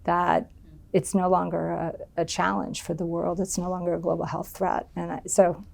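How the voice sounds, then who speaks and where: Low, gravelly middle-aged woman. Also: flat delivery, moderate pace. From United States. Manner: thoughtful, many ums and restarts. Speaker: interviewee. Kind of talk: oral history interview.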